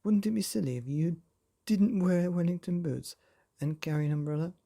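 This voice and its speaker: A man's voice: high voice, normal speed, vocal fry, dark tone, pitched above the performer's own voice. A man voicing a cheerful, silly storybook character who asks absurd questions in a playful, mock-earnest way. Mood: playful, teasing.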